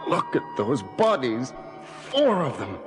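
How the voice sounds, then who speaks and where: An actor playing an urgent, commanding moonbase commander in a 1970s audio drama. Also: male voice, medium pitch, wildly swooping pitch, takes it slowly. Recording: music under the voice.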